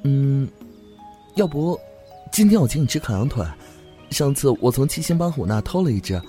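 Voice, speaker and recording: A man's voice: medium pitch, big pitch swings, normal speed, mellow, faint music behind, close to an everyday voice. An actor playing a cheerful, easygoing young man from a clan who chats playfully in a fan audio drama. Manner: chatty, playful.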